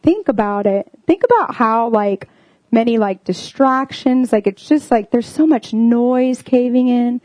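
Young female preacher, earnest and chatty, higher pitch, dry close recording. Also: lively intonation, a little slow, darkish tone, dry recording, low-quality recording. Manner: earnest, full of "like" and "um" fillers. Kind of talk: casual sermon.

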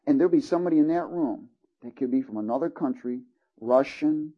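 A man's voice: mid-range voice, brisk, muffled recording. A man preaching a sermon, reading scripture aloud and checking in with listeners as he teaches. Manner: earnest, calls out "Amen?" and "Hallelujah" to listeners.

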